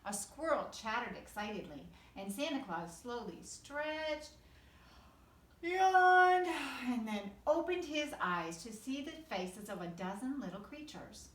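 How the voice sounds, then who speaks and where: Animated woman reading a storybook in an echoey room. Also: a little high, unhurried. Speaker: pre-kindergarten teacher reading aloud. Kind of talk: expressive children's storybook read-aloud. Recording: echoey room, distant mic, faint noise.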